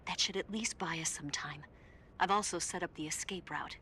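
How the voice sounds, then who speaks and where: A woman's voice: fairly low pitch, moderate pace, sharp tone, slight background noise. An actress playing a capable, composed female spy reporting in during a video game. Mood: businesslike. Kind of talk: video game radio-call acting.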